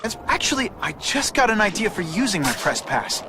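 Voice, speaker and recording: An actor playing a cocky, chatty young superhero who delivers sarcastic quips in a video game. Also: male voice, high voice, big pitch swings, a little fast, background noise. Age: young adult. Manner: quippy, sarcastic.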